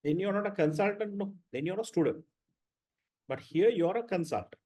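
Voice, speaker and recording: A man's voice: high voice, expressive, a little fast, mellow. A man lecturing students in an online class, blunt and repetitive, often checking with "right?".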